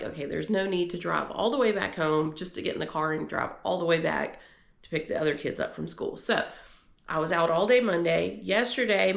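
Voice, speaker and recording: A woman's voice: fairly low pitch, gravelly, reverberant. A woman in her forties, congested from a cold, chatting casually and encouragingly in a rambling home vlog. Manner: rambling, warm, encouraging, many fillers. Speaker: homemaking vlogger. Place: home office.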